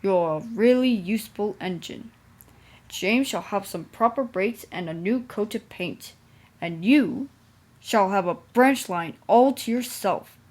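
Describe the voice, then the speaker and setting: A woman voicing a kindly, authoritative railway director in a storybook, with a measured manner. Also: mid-range voice, expressive, darkish tone. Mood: kindly, pleased. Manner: measured, kind. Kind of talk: storybook character voice.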